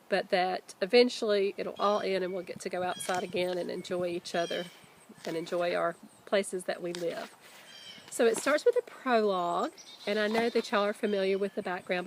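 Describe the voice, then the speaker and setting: A woman, a teacher reading a children's book aloud expressively, with deliberate emphasis. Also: medium pitch, a little hiss or hum. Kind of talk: children's storybook read-aloud.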